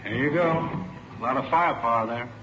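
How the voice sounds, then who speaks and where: An actor playing a plain-spoken, methodical police clerk in a 1940s radio drama, matter-of-fact in manner. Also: male voice, mid-range voice, a little fast, natural voice. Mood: businesslike. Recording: noisy, muffled 1940s radio recording.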